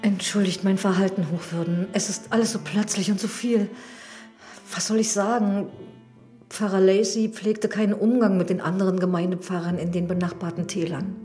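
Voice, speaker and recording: A woman's voice: medium pitch, level tone, moderate pace, dark tone, natural voice. Radio drama housekeeper female actor: guarded widow in service, respectful yet evasive, music underneath. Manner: deferential, evasive, hesitant. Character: guarded, deferential widowed housekeeper of a village parson. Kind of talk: German radio drama acting.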